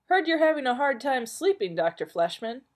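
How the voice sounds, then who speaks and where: A woman's voice: sits high, sing-song, normal speed, pitched above the performer's own voice. Woman doing a beaming, folksy townsman. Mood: cheerful. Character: cheerful, easygoing small-town man.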